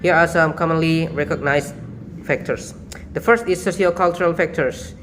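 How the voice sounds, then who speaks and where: Young man, Indonesian accent, fairly high voice, hesitant student talk, phone video, faint hiss. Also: steady tone, fairly bright tone. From Madura, Indonesia. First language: Madurese. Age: young adult. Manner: halting, searching for words with many fillers. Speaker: student presenter. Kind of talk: class presentation. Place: small indoor room against a plain wall. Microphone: phone at arm's length.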